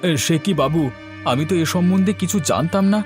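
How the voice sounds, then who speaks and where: A man's voice: high voice, fairly quick, close to an everyday voice. A man playing a poor, humble village man in a cartoon, speaking deferentially and hesitantly. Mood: bewildered, pleading. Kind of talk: Bengali cartoon story voice acting. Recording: faint music behind the voice.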